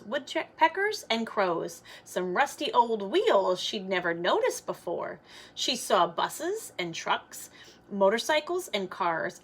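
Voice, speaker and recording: A woman reading a children's picture book aloud like a teacher, expressively, with simple explanatory asides. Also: mid-range voice.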